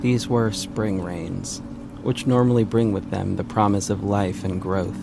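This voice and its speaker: Low, gravelly male narrator over music. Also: fairly even intonation, unhurried, noisy background. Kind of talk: scripted audio drama narration.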